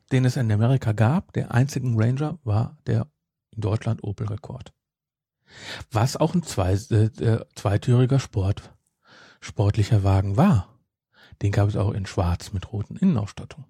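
A man co-hosting a fan podcast in a casual, explanatory way. His voice is soft-edged and husky, recorded close and dry, as he presses on through the details. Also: lowish voice, dry recording. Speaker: podcast co-host.

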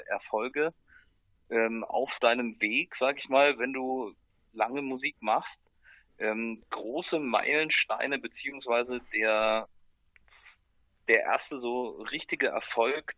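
Guy in a band chatting in an interview, slangy, hesitant, muffled lo-fi sound, no room echo. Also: medium pitch. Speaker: metal band musician, interview guest. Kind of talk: casual spoken interview.

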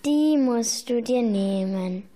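Grumbling ancient ghost, high and slow-spoken, put-upon, played by a young girl in a school radio play. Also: warm tone. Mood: grumpy, reluctant. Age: child. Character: grumpy, reluctant, very old ghost.

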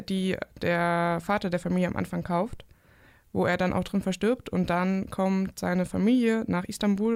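A woman's voice: medium pitch, a little mellow, no room sound, clean studio sound. A 24-year-old woman, a student and new co-host, chatting casually about a novel with lots of fillers. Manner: chatty, self-deprecating, many fillers. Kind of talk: casual chat.

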